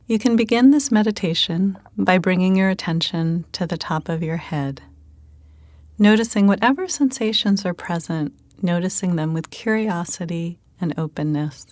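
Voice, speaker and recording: A woman leading a guided meditation in a calm, gentle manner, giving unhurried instructions with pauses. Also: fairly low pitch, no echo.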